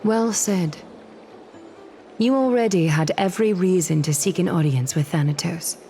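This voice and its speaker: An actress playing a composed noblewoman leader in a game, measured and commanding. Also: fairly low pitch, mellow, slight background noise, natural voice.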